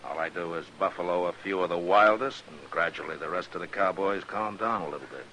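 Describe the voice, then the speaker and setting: A man's voice: low voice, fast, sharp tone, slight background noise, low-quality recording. An actor playing a firm, world-weary frontier lawman with a dry, blunt manner in an old-time western radio drama. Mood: firm, challenging.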